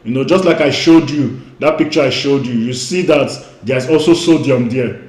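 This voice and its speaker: A man's voice: mid-range voice, moderate pace, darkish tone, roomy sound. A man who grew up speaking Igbo, giving an emphatic online lecture as a weight-loss coach. He repeats his key points and often says "you know".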